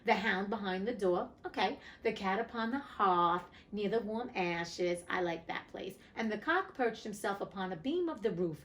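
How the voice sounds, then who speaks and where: A woman's voice: mid-range voice, expressive, normal speed, hardly any pauses, fairly bright tone. A woman reading a classic fairy tale aloud, breaking off for chatty, irreverent asides with casual swearing. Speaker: host reading classic stories.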